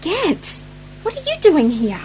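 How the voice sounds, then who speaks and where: A woman's voice: extremely high pitch, wildly swooping pitch, takes it slowly, a little mellow, soft background music, heavily acted. A woman playing a worried, stubborn young woman in an amateur radio play, earnest and questioning. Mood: surprised, curious. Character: worried, stubborn young woman anxious to rescue her uncle.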